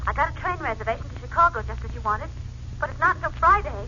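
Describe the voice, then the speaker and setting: A muffled, noisy 1940s radio recording carries a devoted young American woman. Her voice is fairly high, and she pleads earnestly and insistently like a worried sweetheart. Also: expressive, fast talker, sharp tone, exaggerated voice. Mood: worried, tender. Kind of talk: 1940s radio drama acting.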